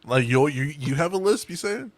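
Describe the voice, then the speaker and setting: A man's voice: fairly high pitch, lively intonation, normal speed, no room sound. A man hosting a casual group podcast over a video call, rambling with restarts and swearing freely. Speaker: podcast host.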